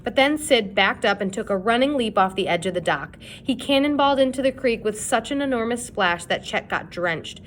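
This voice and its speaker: A woman's voice: animated, fairly quick, hardly any pauses, fairly bright tone. Woman reading dramatically over background noise. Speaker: storybook reader. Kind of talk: children's chapter book read-aloud, scripted narration. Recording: clear background noise with rumble.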